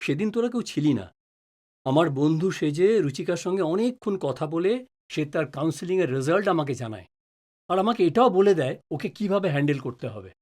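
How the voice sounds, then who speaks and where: Earnest, put-upon middle-aged family man played by an actor, fairly high voice, very expressive pitch, audio drama. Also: quick pace, lightly acted. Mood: earnest, concerned.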